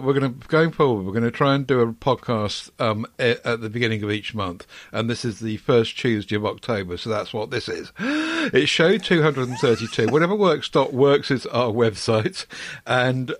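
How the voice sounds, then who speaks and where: A man's voice: medium pitch, dry recording. A middle-aged man hosting a podcast, chatting casually and rambling with many ums and restarts. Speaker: podcast host. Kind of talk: casual chat.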